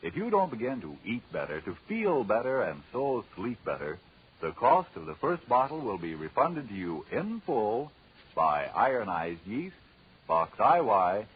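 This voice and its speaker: Male vintage announcer, polished and emphatic, rough-edged low voice, old radio recording. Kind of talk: 1940s radio announcing and sponsor pitch. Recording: muffled, husky-sounding 1940s radio recording.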